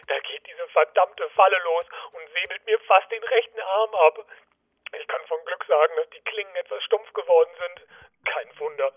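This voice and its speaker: Man acting a high-pitched fussy, meek office worker. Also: husky. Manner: apologetic, over-polite, plaintive. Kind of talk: comedy audio drama acting. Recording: tinny voicemail phone line.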